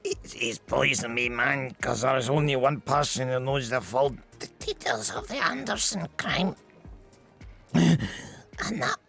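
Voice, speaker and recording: A man voicing a poisoned house DJ in a comic audio drama, coughing and gasping out halting, melodramatic last words. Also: mid-range voice, lively intonation, gravelly, a bit bright, soft background music. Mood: weak, gasping. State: coughing, choking, faltering. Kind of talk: audio drama parody acting.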